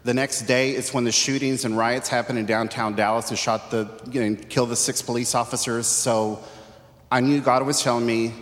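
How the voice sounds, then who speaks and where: Man from Texas, mid pitch, earnest testimony, reverberant church hall. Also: fairly even intonation, a little fast. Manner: earnest, conversational. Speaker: church panel member. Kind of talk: personal testimony. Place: church sanctuary.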